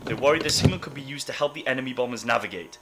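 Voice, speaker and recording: A man playing a pragmatic, hurried wartime studio technician in an audio drama. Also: medium pitch, bright, forceful tone, lightly acted. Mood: matter-of-fact.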